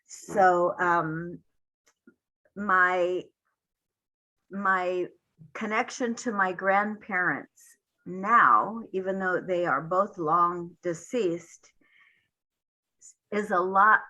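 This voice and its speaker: An older woman speaking as a panelist, reflecting in a halting way with many pauses. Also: lowish voice, bright, forceful tone, lo-fi sound.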